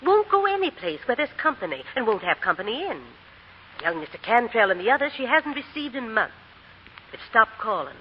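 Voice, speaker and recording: A woman's voice: a little high, sing-song, brisk. An actress playing an anxious, doting middle-aged aunt, with a motherly manner, in a 1940s radio drama. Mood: worried. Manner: fretful, motherly. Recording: dull, noisy 1940 radio recording.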